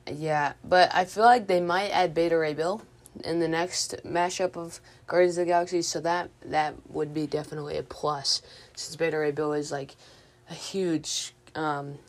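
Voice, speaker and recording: Low-voiced woman, casual podcast host. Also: level tone, normal speed. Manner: hesitant, informal, thinking aloud. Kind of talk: casual movie news chat.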